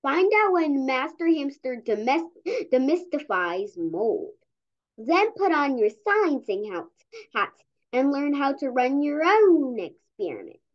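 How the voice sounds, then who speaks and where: High-voiced child reading aloud. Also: sing-song, slow. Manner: stumbles over words while reading. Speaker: reader of a children's comic. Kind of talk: read-aloud storytelling. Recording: dull, lo-fi audio.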